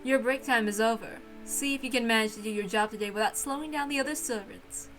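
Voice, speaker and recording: Woman as a haughty witch, highish voice, mocking, playfully menacing, theatrical visual novel villainess, music underneath. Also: fast talker, a bit bright, natural voice. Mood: teasing. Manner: mocking, grandly condescending.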